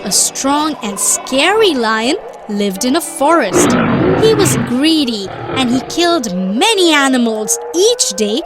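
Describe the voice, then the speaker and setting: High, lilting storybook mother. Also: very animated, loud music behind the voice, full character voice. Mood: storytelling. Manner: deliberate, instructive. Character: patient mother telling moral stories to her children. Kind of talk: scripted children's storytelling.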